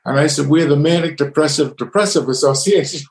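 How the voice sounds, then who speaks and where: A man from the United States, a laughter yoga leader, talking as a guest in a relaxed way, with many restarts and easy laughs. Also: fairly high pitch. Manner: relaxed storyteller, halting with restarts, laughs easily. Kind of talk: casual conversation. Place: home with household noises. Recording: echoey room.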